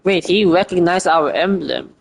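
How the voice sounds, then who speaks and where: A man giving casual playthrough commentary as a gaming streamer, reacting in halting asides. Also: sits high, gravelly.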